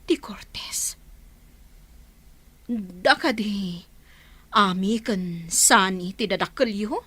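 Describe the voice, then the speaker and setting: An actress playing a concerned, brisk and kind businesswoman in an Ilocano radio drama. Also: mid-range voice, sharp tone, husky, dry recording, full character voice. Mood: concerned, inquisitive. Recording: music under the voice.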